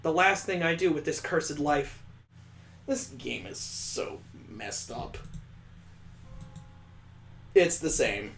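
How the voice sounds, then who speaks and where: Male let's play host, slightly high voice, theatrical read-aloud style with incredulous, wry asides. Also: lively intonation, slow, pauses often, fairly bright tone, slight background noise. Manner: incredulous, joking asides between earnest narration. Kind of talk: dramatic read-aloud with reactive commentary.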